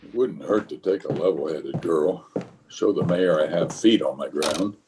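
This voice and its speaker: An actor playing a pompous, fussy middle-aged water commissioner who gets easily exasperated, in an old-time radio comedy style. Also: male voice, extremely low pitch, normal speed, background noise. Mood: deliberating. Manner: self-important, exasperated.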